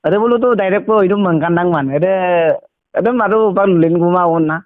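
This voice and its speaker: High-pitched man. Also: fast talker, no echo, narrow, tinny audio. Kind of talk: casual phone chat.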